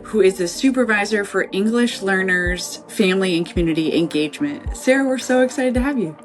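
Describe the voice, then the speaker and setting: A woman hosting a podcast interview, enthusiastic and quick with affirming interjections. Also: medium pitch, faint music behind. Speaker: podcast host, nonprofit director.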